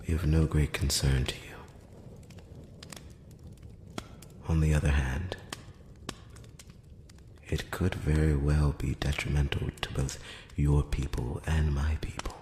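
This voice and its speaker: A man acts out an ancient elven envoy in a whispered fantasy roleplay, with an extremely deep, soft voice. He speaks slowly and formally, with sly, courtly condescension. The close voice rings through a long, echoing hall reverb. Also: a little hiss or hum, full character voice. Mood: earnest, diplomatic. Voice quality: very low, soft, often slipping into whisper. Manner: slow, hushed, measured, with formal courteous phrasing. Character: ancient, courtly, slyly condescending male elf emissary. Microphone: close-up microphone.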